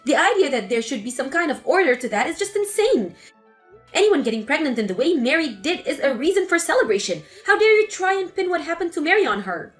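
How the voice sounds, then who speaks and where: A woman's voice: sits high, big pitch swings, brisk, soft background music. A woman voicing an angry adult daughter confronting her mother in a scripted text-story drama. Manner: accusing, exasperated.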